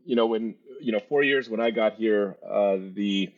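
A man's voice: fairly low pitch, moderate pace, lo-fi sound. A man hosting an interview as a company leader, upbeat and conversational, with many fillers like "um" and "you know".

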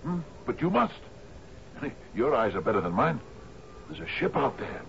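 An actor playing an aging, gruff sea captain in a 1970s radio drama, commanding yet lonely, halting in his speech. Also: medium pitch, big pitch swings. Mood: awed, insistent. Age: older. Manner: gruff, halting, swings from muttering to shouting. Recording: dull, noisy 1970s radio broadcast.

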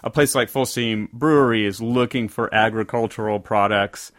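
A man's voice: lowish voice, fairly even intonation, normal speed, a bit bright, dry recording, clean studio sound. A man from the American South, co-hosting a casual podcast and telling a rambling story that often circles back to correct itself. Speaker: podcast co-host. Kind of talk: casual conversational podcast chat.

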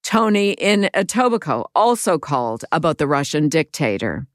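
A woman hosting a radio show, reading scripted links between segments in a crisp, measured way. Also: deep voice, very animated, no room sound, studio quality. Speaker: radio host.

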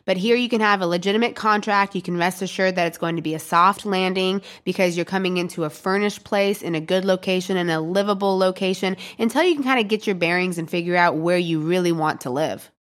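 A woman hosts a podcast in a slightly low-pitched voice. Her style is casual and conversational, like chatting with a friend. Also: fairly quick, few pauses. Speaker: podcast host. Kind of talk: casual chat and interviewing.

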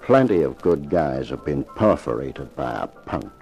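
Radio gangster heavy: dark, creaky voice, swinging intonation, mocking menace, 1950s crime drama. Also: male voice, rumbling low, expressive, unhurried, full character voice. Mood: menacing. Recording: vintage 1950s radio recording with music.

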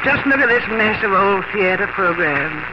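An actress playing a wistful, sentimental middle-aged housewife in a 1950 radio drama. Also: female voice, mid-range voice, animated, moderate pace, heavy background noise, natural voice. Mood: nostalgic. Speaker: lead actress. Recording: dull vintage radio broadcast with music.

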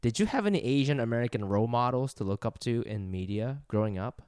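A relaxed man co-hosting a podcast, cracking jokes and laughing easily. His pitch swings up and down with lively energy. The sound is dry and close to the mic. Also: fast, clear, resonant tone, no echo. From Japan. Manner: laid-back, joking, laughs often. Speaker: podcast co-host. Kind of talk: casual podcast chat.

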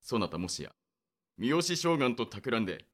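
A man's voice: mid-range voice, big pitch swings, moderate pace, close to an everyday voice. A man playing a suspicious samurai official in a Japanese period audio drama, speaking formally and pressing like an interrogator. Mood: accusing.

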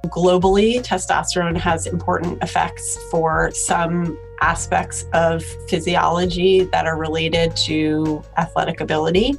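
A woman's voice: lowish voice, level tone, unhurried, gravelly, few pauses, soft background music. A woman doctor explaining a topic carefully and with hedges in an interview. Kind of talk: expert interview.

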